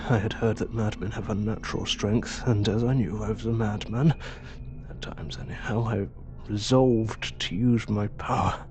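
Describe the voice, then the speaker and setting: An actor playing an agitated, weakened madman with a gravelly voice and a halting, urgent manner in an audio drama. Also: on the low side, fairly even intonation, darkish tone, faint music behind, close to an everyday voice. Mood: grim, fading.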